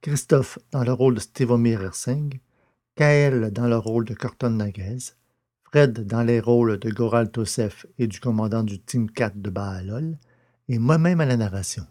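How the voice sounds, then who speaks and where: A man with a mild sore throat narrating a scripted audio story and presenting it, subdued and low in energy. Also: moderate pace, dark tone.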